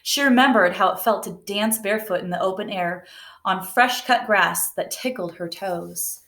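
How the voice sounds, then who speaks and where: A woman reading a children's picture book aloud in a gentle, unhurried way. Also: mid-range voice. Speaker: storybook reader. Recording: echoey room.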